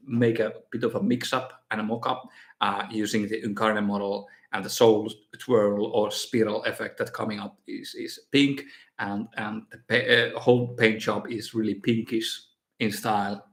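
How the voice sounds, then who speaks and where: Finnish man with hesitant stream chatter. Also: lowish voice. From Finland. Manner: hesitant, many fillers and restarts. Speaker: miniature painting streamer. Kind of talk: casual live stream Q&A.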